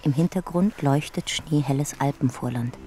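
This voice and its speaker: A woman narrating a scripted radio drama in a measured, matter-of-fact way. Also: low voice, warm tone, natural voice.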